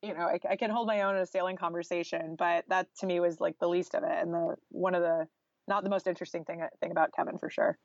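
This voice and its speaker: A woman's voice: on the low side, little pitch movement, fast, no room sound, lo-fi sound. An American woman talking informally and candidly as a guest author in a podcast interview, peppering her speech with "like" and "you know.".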